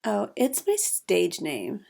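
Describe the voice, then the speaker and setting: A woman's voice: mid-range voice, wildly swooping pitch, slow, gravelly, warm tone, exaggerated voice. A woman playing a genteel, evasive lounge singer in her thirties in a noir audio drama, polite and theatrical. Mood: politely evasive.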